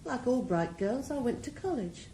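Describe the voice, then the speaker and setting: A woman's voice: fairly high pitch, expressive, normal speed, dark tone. An actress in a radio drama playing a ruthless female drug boss, cool, composed and mocking. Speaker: criminal mastermind. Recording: roomy echo, faint background hiss.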